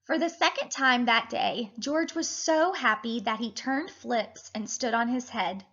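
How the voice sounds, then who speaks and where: A woman in her thirties, a teacher reading and talking to children in an animated, deliberate way. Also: on the high side, lo-fi sound. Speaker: teacher reading a picture book aloud to children. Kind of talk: expressive children's read-aloud and lesson.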